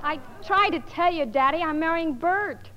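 An earnest, high-voiced young daughter. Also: female voice, expressive, moderate pace, a faint crowd. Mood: pleading, insistent. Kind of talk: 1950s radio comedy acting. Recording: muffled, echoing, noisy old radio broadcast.

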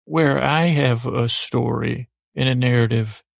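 A man's voice: mid-range voice, steady tone, a little slow, no echo, studio quality. A man hosting a podcast in a rambling, informal bedtime monologue, hesitant and self-deprecating, often stammering and circling back. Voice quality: gravelly, creaky. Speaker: podcast host.

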